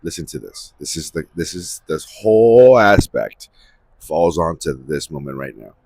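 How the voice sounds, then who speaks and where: A man with a husky voice giving a rambling, unscripted spiritual monologue, emphatically repeating phrases for stress as he hosts. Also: a bass voice, animated, moderate pace, a little mellow, no echo. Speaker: host of a spiritual series.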